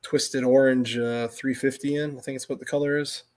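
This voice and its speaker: Man, middle-aged, American accent, medium pitch, casual livestream chat, rambling, self-interrupting storyteller. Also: flat delivery, normal speed.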